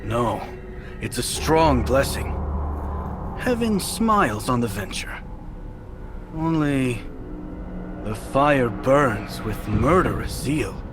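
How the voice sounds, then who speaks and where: An actor playing a shrewd, cautious fortune-telling master thief in a game cutscene, deliberate and wry. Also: male voice, medium pitch, animated, music in the background. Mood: thoughtful. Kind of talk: dramatic game cutscene acting.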